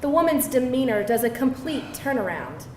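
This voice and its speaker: A woman's voice: sits high, big pitch swings, normal speed. A woman narrating scripted stage directions at a live screenplay table read. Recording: echoey room, distant mic, faint noise.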